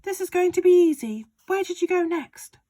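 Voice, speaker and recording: A woman reading aloud and voicing an excitable, bossy father who shouts his big plans. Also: moderate pace. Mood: overexcited. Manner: shouting, overexcited, booming. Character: excitable bossy father, middle-aged man.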